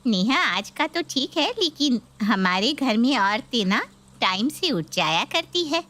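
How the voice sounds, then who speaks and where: A woman's voice: fairly high pitch, very animated, unhurried, pushed and bright, heavily acted. A woman playing a middle-aged mother-in-law who is fawning, anxious and nagging, in a dramatised story. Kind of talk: dramatised Hindi story video.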